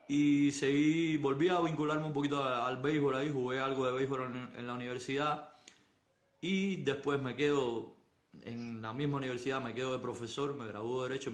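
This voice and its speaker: A middle-aged man, speaking at a middle pitch, recounts his past in a calm, thoughtful way full of pauses and fillers. His voice comes over a phone on a live stream and rings with an echoey room around him. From Cuba. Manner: unhurried, thoughtful storytelling with many pauses and fillers. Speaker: softball coach. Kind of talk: Instagram Live interview. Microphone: phone on a live video stream.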